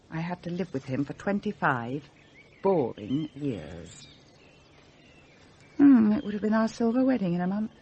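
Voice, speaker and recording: A scornful, arch upper-class wife, lively pitch. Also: female voice, slight background noise. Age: middle-aged. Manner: arch, precise, witty wording. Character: restless upper-class wife and mother, scornful, leaving home. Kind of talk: radio drama acting.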